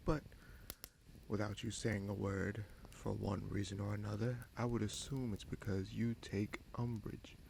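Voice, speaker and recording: A man playing a scheming sorcerer prince in a fantasy audio roleplay, smug and coolly menacing, with a gravelly voice and slow, deliberate, taunting delivery. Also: fairly low pitch, flat delivery, a little mellow, slight background noise. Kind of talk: fantasy audio roleplay, dramatic monologue addressed to listener.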